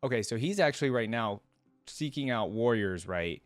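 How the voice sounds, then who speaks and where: A man hosting a reaction video, reading lore aloud and adding casual, informal asides as he thinks out loud. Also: medium pitch, expressive, moderate pace, dry recording, faint music behind.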